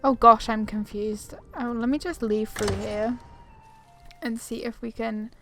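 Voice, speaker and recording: A woman's voice: fairly high pitch, steady tone, a little slow, over music. A woman doing casual video game playthrough commentary, chatty and self-deprecating as she thinks aloud. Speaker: gaming YouTuber.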